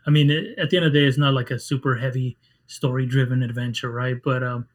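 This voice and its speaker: A man whose first language is Spanish speaks in a flat, level tone. He talks haltingly, with frequent restarts and fillers, as a game developer chatting in an interview. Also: mid-range voice. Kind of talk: casual interview chat.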